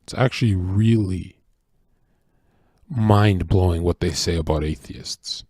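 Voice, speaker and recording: A man's voice: low voice, slow, warm tone, breathy edge, dry recording, clean studio sound. A tired man delivering a rambling, profane, preachy monologue as a streamer, with frequent restarts. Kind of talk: casual opinionated monologue.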